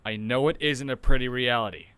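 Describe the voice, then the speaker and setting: A man's voice: mid-range voice, fairly quick, sharp tone, clear, resonant tone, no echo, natural voice. A man playing a grim, resigned young trainee who speaks soberly and bluntly in an audio drama. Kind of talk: scripted audio drama dialogue.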